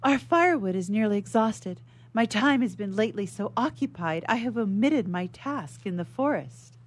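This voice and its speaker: A woman's voice: medium pitch, lively intonation, normal speed, voice pushed up, natural voice. A woman playing a sweet, devoted young daughter, speaking earnestly and formally in a melodramatic period stage drama. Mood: dutiful, tender.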